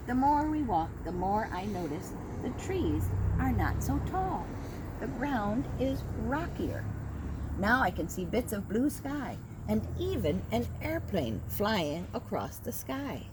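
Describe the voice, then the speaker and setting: A middle-aged woman, a teacher, reading a children's storybook aloud at home with a gentle, expressive delivery, slowing down for young listeners. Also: a little high. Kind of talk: storybook read-aloud for kids. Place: at home with her dog nearby. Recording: home recording with clear background noise.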